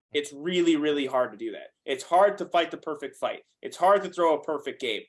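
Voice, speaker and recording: A man in his late twenties talking powerlifting on a podcast, quick and animated, cursing casually. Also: a little high. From United States. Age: 20s. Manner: fast, animated, swears freely. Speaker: guest powerlifter.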